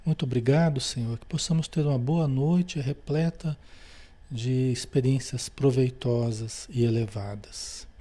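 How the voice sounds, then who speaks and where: Male host with a warm, relaxed voice and a gentle, friendly delivery. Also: medium pitch, measured pace. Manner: calm, gentle, with friendly verbal tags. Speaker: host of a spiritist meeting. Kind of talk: closing prayer and announcements.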